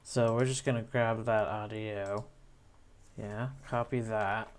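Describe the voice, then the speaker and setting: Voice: medium pitch, fairly even intonation, slow pace, clean tone. A video editor giving casual screen-recording commentary, musing to themselves while working. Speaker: video editor narrating own editing session.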